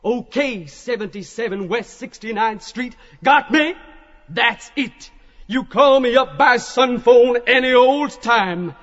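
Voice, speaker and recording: A man's voice: extremely high pitch, slow, a bit bright, voice pushed up, full character voice. A man voicing a fervent evangelist prophet who hawks salvation in folksy, hectoring showman's patter, in an audiobook drama. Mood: salesman zeal. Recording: muffled audio in a long echoing hall.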